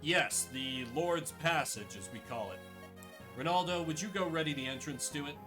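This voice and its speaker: A man's voice: fairly high pitch, moderate pace, lightly acted. A man voicing an earnest, troubled duke in a fantasy game. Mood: resolute. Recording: game music behind the voice.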